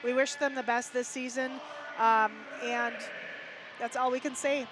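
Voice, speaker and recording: A woman's voice: on the high side, level tone, measured pace, background noise. A woman with a Minnesotan accent doing casual hockey commentary, chatty and reading stats with fillers. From Minnesota, USA. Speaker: hockey broadcaster. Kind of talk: casual live-stream commentary.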